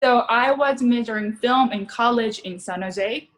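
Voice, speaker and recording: A woman from Japan, speaking English with a Japanese accent, telling her story as an actress guest in a casual interview, chatty and quick to laugh. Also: a little high, a bit bright. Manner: chatty, laughs often, restarts sentences.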